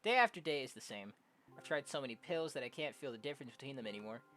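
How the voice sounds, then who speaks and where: A young adult game streamer casually reading visual novel dialogue aloud, jokey and prone to loud exclamations. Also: medium pitch, fast. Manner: animated, jokey, exclaims loudly. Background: game music.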